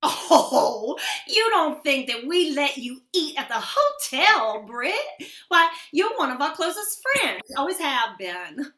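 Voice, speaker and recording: A woman playing a proud, pushy small-town wife in a radio western, folksy and sharp-tongued. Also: sits high, sing-song, slow, echoey room, heavily acted. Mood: gushing. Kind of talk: old-time radio western acting.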